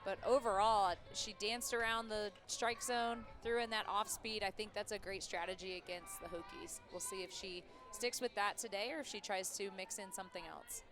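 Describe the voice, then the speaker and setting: A woman working as a color commentator, giving live softball analysis in a conversational, explanatory way. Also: medium pitch, steady tone, normal speed. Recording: music and crowd behind, outdoors. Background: music, ballpark crowd.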